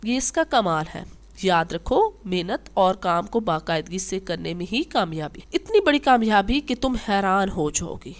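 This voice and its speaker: A woman's voice: medium pitch, sing-song, measured pace, pitched below the performer's own voice. A woman voicing a kindly, wise old female ant in a children's storybook, patient and instructive. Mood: patient, encouraging.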